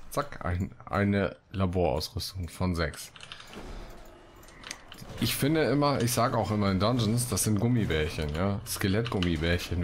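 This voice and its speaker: A man with a very low voice hosts a let's play in a casual way. He talks through the gameplay and reads out quests, with noticeable background noise of keys and game sounds. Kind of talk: casual gameplay commentary with read-out quest texts.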